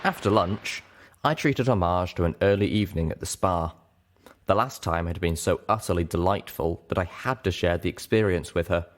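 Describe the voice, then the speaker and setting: A man's voice: low voice, normal speed. A man reading scripted first-person fiction as an audiobook narrator. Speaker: audiobook reader.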